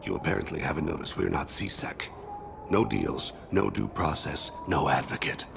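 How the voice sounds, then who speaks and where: A man playing a calm, solemn alien assassin in a video game, with a gravelly voice and formal, deliberate phrasing. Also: background noise, full character voice. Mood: quietly menacing. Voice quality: deep gravelly rasp.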